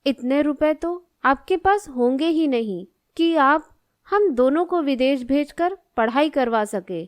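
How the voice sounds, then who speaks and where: A woman playing a dutiful, level-headed young student daughter in an animated moral story, polite and plain-spoken. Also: high voice, measured pace, no room sound. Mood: hesitant, practical. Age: young adult.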